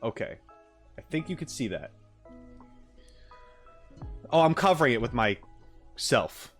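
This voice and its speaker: A man's voice: medium pitch, sing-song, takes it slowly, fairly bright tone. Man chatting casually on a livestream over music. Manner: easygoing, rambling, thinking aloud. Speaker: live streamer. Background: background music.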